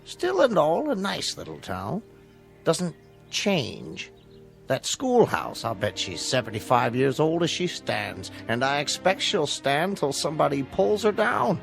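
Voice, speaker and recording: An actor playing a chatty small-town old-timer with folksy, meandering talk in an old television drama. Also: male voice, on the high side, sing-song, a little slow, creaky, soft background music, exaggerated voice. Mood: folksy chatting.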